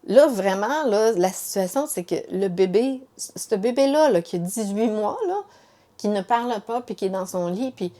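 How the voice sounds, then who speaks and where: Middle-aged woman, Quebec French accent, emotional personal testimony, halting delivery with repeated words and fillers. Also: mid-range voice, expressive, warm tone. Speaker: mother telling her story.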